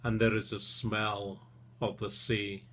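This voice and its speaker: A man leading a guided relaxation session as a therapist, speaking soothingly and gently in short phrases with long pauses. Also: fairly low pitch, little pitch movement.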